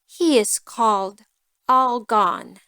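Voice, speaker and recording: A woman voicing a greedy, haughty female cat in a fairy tale, with a smug and deliberate manner. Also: a little high, bright, forceful tone, clear, resonant tone, dry recording. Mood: smug, dismissive.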